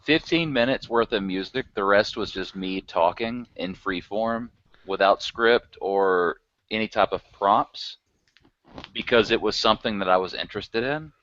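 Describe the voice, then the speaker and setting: A man's voice: fairly low pitch, measured pace, a bit bright. A man chatting casually as a podcast guest, rambling and chuckling often. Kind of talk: casual chat. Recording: dry, dull lo-fi recording.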